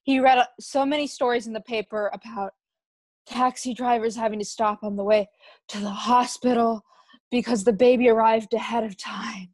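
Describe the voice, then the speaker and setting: A woman plays a nervous expectant mother in a radio sitcom. Her voice is fairly high, earnest and hesitant, recorded dry. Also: fairly even intonation, moderate pace, a little mellow, dry recording, close to an everyday voice. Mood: grateful. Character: Jane, grateful, nervous young expectant mother. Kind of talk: 1940s radio sitcom acting.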